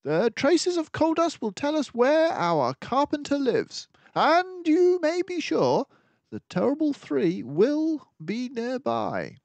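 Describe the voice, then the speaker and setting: A man voicing a brilliant, excitable, commanding mouse detective in a children's storybook, speaking in an emphatic, theatrical way. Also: very high voice, slow pace, no echo, pitched above the performer's own voice, heavily acted. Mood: triumphant, brisk. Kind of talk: children's storybook read-aloud.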